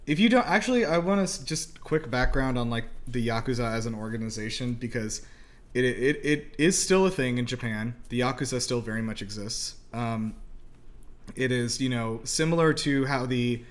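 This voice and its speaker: A man hosting a movie reaction show, explaining things in a halting, informal, conversational way as he thinks aloud. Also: mid-range voice, lively intonation.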